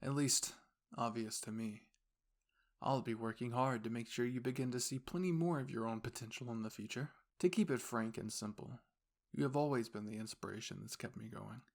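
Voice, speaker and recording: A man's voice: medium pitch, vocal fry, natural voice. A man voicing a composed, formal young university student and caring boyfriend, speaking intimately and reassuringly to the listener in an audio roleplay. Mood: quietly affectionate. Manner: measured, formal, gently reassuring phrasing.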